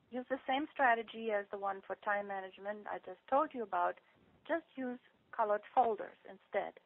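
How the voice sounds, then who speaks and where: A woman clinician reading a prepared advice talk to patients in a measured, instructional manner over a telephone conference call. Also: fairly high pitch, dry recording. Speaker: clinician speaker presenting to patients. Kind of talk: prepared talk read aloud, giving practical advice. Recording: tinny narrow-band telephone conference line.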